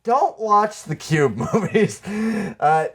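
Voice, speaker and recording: A man co-hosting a podcast, talking about films in a casual, halting, offhand way. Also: sits high, sing-song, slight background noise. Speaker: podcast co-host discussing movies. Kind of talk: casual film chat.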